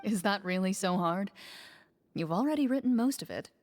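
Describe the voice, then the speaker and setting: A woman playing a composed, noble veteran monster hunter in a fantasy game, speaking in a calm, measured, firm way. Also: mid-range voice, lightly acted. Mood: composed, reassuring. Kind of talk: scripted fantasy game dialogue. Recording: music playing under the voice.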